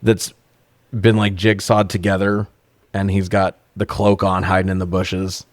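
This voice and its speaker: A man in his forties with a fairly low voice talks like a co-host on a comedy review show. He is sarcastic and swears freely as he recaps in loose, casual chat. The sound is dry, with very little room in it. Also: flat delivery, unhurried, dry recording. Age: 40s. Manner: profane, sarcastic. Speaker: podcast co-host reviewing films.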